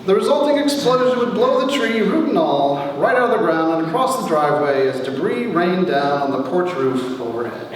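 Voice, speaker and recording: Echoey, animated man reading wry memoir. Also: high voice. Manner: wry, theatrical storyteller with deliberate pauses. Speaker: author reading from own book. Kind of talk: dramatic comic memoir reading to a live audience. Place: large reverberant room, recorded from a distance. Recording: echoey hall, distant mic, faint noise.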